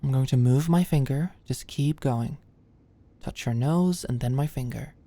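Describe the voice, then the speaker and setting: A woman playing a sweet, anxious young medical student in an ASMR roleplay, speaking gently and a little awkwardly close to the mic. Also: a bass voice, exaggerated voice. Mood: focused, gentle. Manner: gentle, halting, clinical instructions slipping into shy fluster. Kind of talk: ASMR roleplay, soft close conversational delivery. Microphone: close microphone, dry sound.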